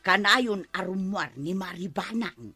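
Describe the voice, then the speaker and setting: A woman with an Ilocano accent playing a nosy, suspicious village gossip in a radio comedy drama, animated and insistent. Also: mid-range voice, a little slow, breathy edge, soft background music, heavily acted. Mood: suspicious, gossipy.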